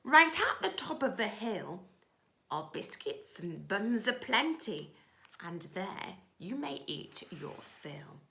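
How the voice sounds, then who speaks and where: A woman's voice: medium pitch, sing-song, takes it slowly, gravelly, sharp tone, echoey room, exaggerated voice. A woman voicing a cunning young female duck in a children's storybook, coaxing in a persuasive sing-song way.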